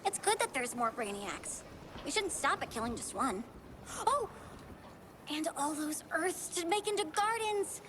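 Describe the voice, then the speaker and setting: Game role: zealous villainess devoted to plants, high voice, earnest, sweetly dangerous. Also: female voice. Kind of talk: video game character acting. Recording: game audio with clear ambient noise.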